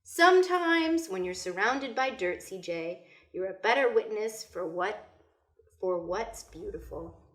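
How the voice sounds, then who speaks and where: A woman's voice: mid-range voice, expressive, unhurried, fairly bright tone, reverberant. A woman voicing a wise, spirited storybook grandmother who is firm but cheerful, in a folksy, emphatic way. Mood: wise, gentle. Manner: folksy, emphatic, chiding fondly.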